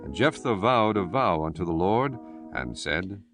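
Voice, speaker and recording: A man narrating a dramatized scripture audiobook in a solemn, measured reading style. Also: sits low, big pitch swings, fairly bright tone. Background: music.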